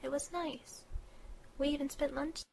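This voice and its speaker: A woman playing a shy, anxious teenage schoolgirl who narrates and speaks in an amateur audio drama, hushed and hesitant. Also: high-pitched, steady tone, a little slow, creaky. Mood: subdued confiding. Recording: noisy, busy crowd ambience behind.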